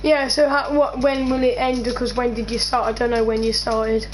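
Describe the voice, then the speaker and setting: High-pitched hesitant woman, noisy mix. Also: flat delivery, moderate pace. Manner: hesitant, full of fillers and restarts. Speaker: co-host asking questions. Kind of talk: casual chat.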